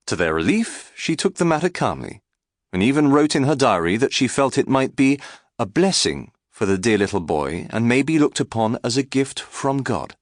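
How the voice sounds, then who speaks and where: A man reading a scripted biography as an audiobook narrator, in a measured, articulate and formal way. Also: mid-range voice, sing-song, dry recording, clean studio sound.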